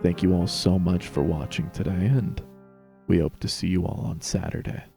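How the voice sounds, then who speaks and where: A man's voice: sits low, moderate pace, gravelly, dark tone, faint music behind. A man narrating a dramatic reading of fan fiction.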